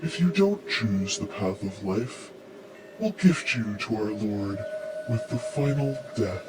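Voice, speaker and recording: A man's voice: on the low side, expressive, takes it slowly, over loud music. A man playing a menacing cult messenger in an audio drama, solemn and ceremonial in manner. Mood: solemn menace.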